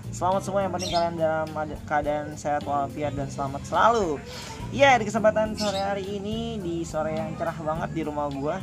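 A man's voice: on the high side, a little fast, hardly any pauses, over music. An Indonesian man hosting a casual podcast from home, joking and telling absurd tall tales. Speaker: podcast host. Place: home with birds outside.

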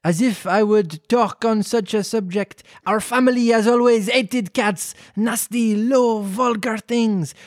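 A man plays a sassy little French mouse from a storybook, easily offended and full of indignation. The voice is shrill and high, with a French accent and a dry, close sound. It protests with irritable passion. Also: measured pace, hardly any pauses, dry recording. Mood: offended, haughty.

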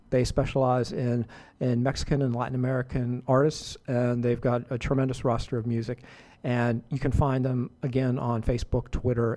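A middle-aged man with a warm, mellow voice talks on the radio between songs. He rambles loosely with lots of fillers and false starts, at an easy, ordinary pitch. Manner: relaxed, rambling, full of fillers and restarts. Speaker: radio show host.

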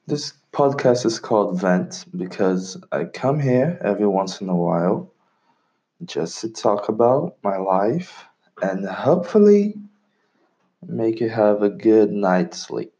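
A young Brazilian man with a slightly North American-tinged accent, hosting a casual solo podcast in a relaxed, rambling way and swearing casually. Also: fairly low pitch, takes it slowly, muffled recording. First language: Portuguese. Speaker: podcast host.

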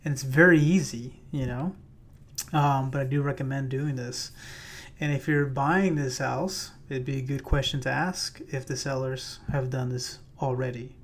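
A man hosting his own podcast in a loose, chatty way with many fillers, his voice a little raspy. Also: medium pitch, steady tone, moderate pace. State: slightly raspy voice. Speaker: podcast host and realtor. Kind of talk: casual solo podcast talk. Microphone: plugged-in microphone.

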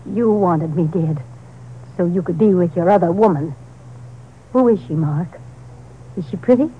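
A woman's voice: on the low side, a little slow, close to an everyday voice. Woman acting a bitter betrayed wife, muffled recording. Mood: hurt, accusing. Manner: mocking, accusing. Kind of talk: 1950s radio drama acting. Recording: muffled, faintly noisy vintage radio recording.

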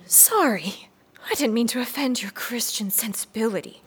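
A woman's voice: on the high side, normal speed, husky. A woman acting in a radio drama as an indignant, defiant grieving mother, blunt, sarcastic and confrontational. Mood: disbelieving, cold. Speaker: actor in radio play. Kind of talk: naturalistic audio drama acting.

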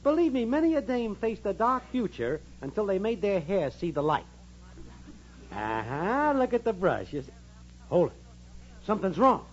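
An actor playing a shifty, bumbling boyfriend, slangy and stammering, in 1940s radio comedy style. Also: male voice, high-pitched, very animated, normal speed. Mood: cocky. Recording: muffled, noisy old radio recording.

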